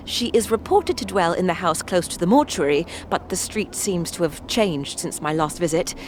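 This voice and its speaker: Flustered, stammering gentleman conman, played by an actor. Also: sits high, lively intonation, moderate pace, slight background noise. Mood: anxious pleading. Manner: flustered, stammering, florid polite speech. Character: timid lost gentleman, secretly a pickpocket conman. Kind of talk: fantasy audio drama acting.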